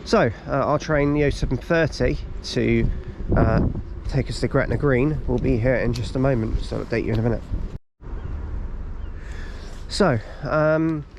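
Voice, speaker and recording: A man's voice: mid-range voice, a little mellow. A man with a stuffy, blocked-up voice from a cold, chatting casually as he vlogs from railway station platforms, with lots of ums and restarts. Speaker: railway vlogger. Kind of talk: casual vlog narration. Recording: outdoor noise on camera mic.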